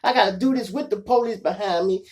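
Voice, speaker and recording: A man with a Chicago accent and a gravelly voice, hosting a show and telling street stories in slangy, animated style, acting out the dialogue. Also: sits high, fast talker. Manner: animated, slangy, addressing listeners as y'all. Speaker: online show host and storyteller. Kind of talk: casual street storytelling with acted-out dialogue.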